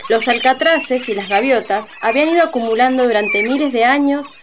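A woman's voice: sits high, a little fast, noisy background, lightly acted. A woman narrating a radio drama from a script. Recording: dull lo-fi, faint music behind.

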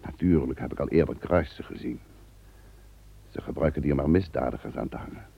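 An actor playing a blunt Roman servant and guard in an old radio play. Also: male voice, a bass voice, fairly quick, vocal fry, breathy edge, dry recording, phone-quality sound, exaggerated voice. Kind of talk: radio drama acting.

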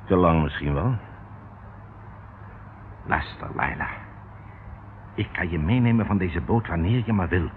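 A man's voice: low-pitched, expressive, a little slow, pauses often. An actor in his fifties playing a coaxing, evasive ship captain in a radio drama. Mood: evasive, hesitant. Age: 50s. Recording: tinny band-limited old radio recording, noisy.

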